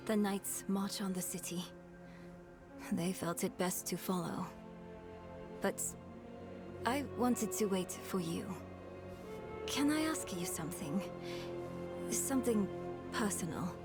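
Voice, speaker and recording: A woman's voice: mid-range voice, lively intonation, takes it slowly, pauses often, music in the background. An actress playing an earnest, doubting young woman, a loyal companion with a hesitant, sincere manner, in a fantasy game. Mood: vulnerable, sincere. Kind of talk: dark fantasy video game acting.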